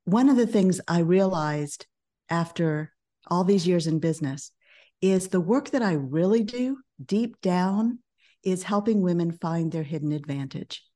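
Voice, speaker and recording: Woman, middle-aged, calm, measured, warm tone, podcast guest. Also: fairly low pitch. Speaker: guest, business coach and author. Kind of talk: podcast interview.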